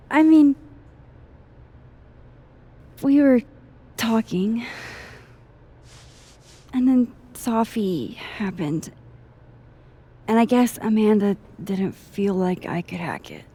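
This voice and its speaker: A dry-witted young academic in a video game, guarded and understated. Her voice is husky and fairly high. She speaks slowly, with a lively rise and fall. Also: exaggerated voice. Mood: wry, subdued. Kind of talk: naturalistic video game dialogue acting.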